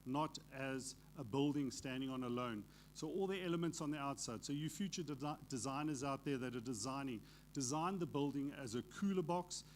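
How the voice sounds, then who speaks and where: A man's voice: mid-range voice, a little hiss or hum. Plainspoken male architect at a summit. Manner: plainspoken, loose, with frequent fillers and restarts. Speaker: architect speaking at a conference. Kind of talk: unscripted conference remarks.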